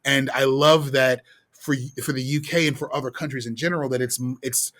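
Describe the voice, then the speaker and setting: A man hosting a podcast, thinking out loud in a rambling way, with many "like"s and "sort of"s. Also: mid-range voice, steady tone, brisk. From United States. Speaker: podcast host. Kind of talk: conversational podcast interview.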